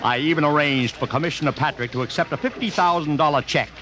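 A man's voice: a little high, fast talker, natural voice. An actor playing a brash, cocky American publicity man in an old radio drama, wheedling and self-satisfied. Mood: smug, jovial. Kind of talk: 1950s radio drama dialogue.

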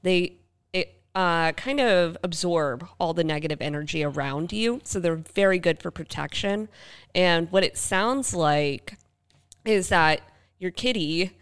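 A woman with a fairly low, smooth voice talks as a podcast co-host. Her delivery is casual and conversational, with loose, chatty phrasing. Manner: conversational, uses "like" often. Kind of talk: casual chat about the paranormal.

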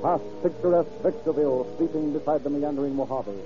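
A man's voice: fairly high pitch, fast, dull audio, over music. An American man working as a 1930s radio announcer, reading scripted narration in a formal, declamatory way. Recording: old 1930s radio broadcast recording.

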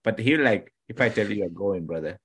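A man from Sri Lanka, a podcast guest chatting casually, talking in halting bursts with many restarts and sudden emphasis. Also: on the low side, lively intonation, fairly bright tone, husky. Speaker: podcast guest, former contestant. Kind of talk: casual chat.

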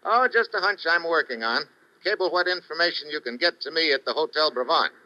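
A man's voice: a little high, lively intonation, brisk, bright, forceful tone, no room sound, poor audio. A man playing a wisecracking foreign correspondent in an old radio drama, with a breezy tough-guy delivery. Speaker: lead actor, foreign correspondent. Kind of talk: 1950s radio drama acting. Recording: dry old radio recording.

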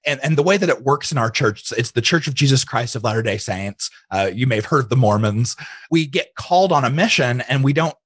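A man's voice: mid-range voice, expressive, brisk, fairly bright tone, husky, dry recording. A man speaking as an interview guest, chatting casually and telling personal stories, often quoting his own thoughts. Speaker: interview guest, digital marketing entrepreneur.

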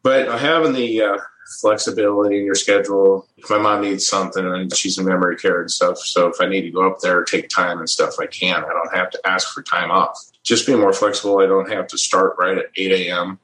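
A man's voice: low voice, level tone, fairly quick, fairly bright tone, echoey room, low-quality recording. A middle-aged man from the American Midwest telling his story in a casual interview, easygoing and chuckling often, restarting his phrases as he goes. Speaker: guest.

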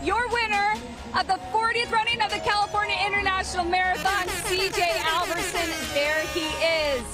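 An excited race announcer giving live, cheering commentary at a finish line. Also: very high-pitched, normal speed, over loud music.

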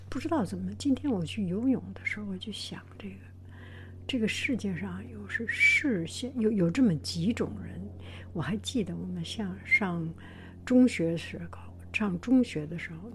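A woman hosting her own channel, talking gently to her viewers in a soft whisper, hesitant and full of pauses. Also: medium pitch, slight background noise. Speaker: channel host. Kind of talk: whispered vlog talk to viewers.

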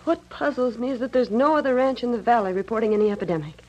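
A woman's voice: fairly high pitch, animated, fast talker, muffled recording. An actress playing a forthright, careworn young woman who owns a ranch, with an American accent, in an old radio western. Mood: worried.

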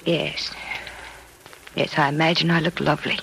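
A noisy vintage radio drama recording of a bereaved, bitter young woman in a hospital bed. Her voice is creaky and listless, with a clipped, hopeless way of speaking. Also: low voice, little pitch movement, normal speed, fairly bright tone. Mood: bitter, withdrawn. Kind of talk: 1940s radio drama acting.

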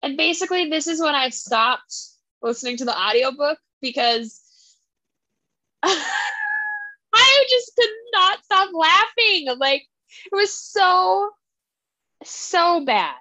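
A young woman co-hosting a casual book podcast, animated and often laughing as she acts out characters' lines. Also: very high voice, slow pace, pauses often, no room sound, low-quality recording. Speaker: podcast co-host. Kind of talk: casual chat about books.

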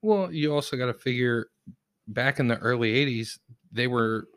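Male co-host, mid-pitched, dry close-miked sound, wry asides and skeptical questions. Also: moderate pace, a bit bright, smooth, no echo. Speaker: podcast co-host. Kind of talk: casual true-crime chat.

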